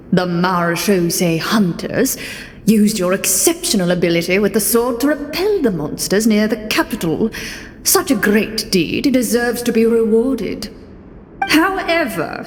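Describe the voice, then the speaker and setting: A woman's voice: medium pitch, slight background noise, natural voice. A woman voicing a scheming male prime minister in a fantasy game, formal, condescending and insinuating. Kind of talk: video game fantasy voice acting. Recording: echoey long reverb, music behind.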